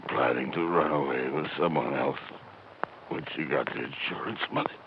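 A man's voice: rumbling low, moderate pace. An American man playing a bitter, cornered, wounded killer in a 1950s radio drama. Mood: weak, bitter. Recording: muffled, noisy 1950s radio recording with clicks.

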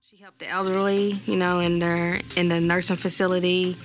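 A woman's voice: lowish voice, level tone, moderate pace, faint music behind. A woman giving an informal, slightly hesitant spoken recollection, as in an interview.

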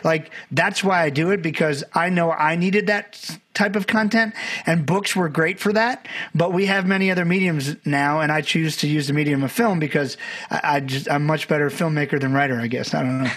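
A man's voice: a little high, steady tone, a little fast, fairly bright tone. Rambling storyteller man from Barbados. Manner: rambling, self-correcting storyteller. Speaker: podcast guest, documentary filmmaker. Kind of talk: conversational podcast interview.

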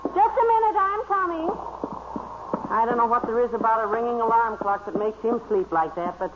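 Patient, exasperated wife played by an actress, muffled old radio. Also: female voice, fairly high pitch, expressive, moderate pace, very noisy, close to an everyday voice. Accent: American. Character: patient, weary wife woken at night, affectionately exasperated. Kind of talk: 1930s-40s radio comedy acting.

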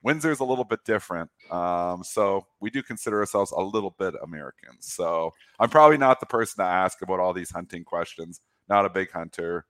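A man's voice: low-pitched, lively intonation, fairly quick, few pauses, fairly bright tone, no echo. A man from Canada with a Canadian accent, co-hosting a casual market talk show in a rambling, self-deprecating way. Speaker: co-host.

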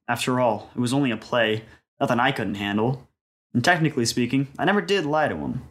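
A man's voice: medium pitch, fairly bright tone, lightly acted. A man playing a jaded young Italian-American mobster who dreams of acting, telling his story as a wry dramatic monologue. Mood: proud. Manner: wry, world-weary storytelling with wiseguy slang. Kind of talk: dramatic scripted first-person monologue.

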